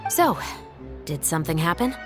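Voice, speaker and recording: A woman's voice: low voice, big pitch swings, moderate pace. A woman playing a composed, by-the-book patrol captain in a video game, dry and wry in manner. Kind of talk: video game character voice acting. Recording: game music behind the voice. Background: game music.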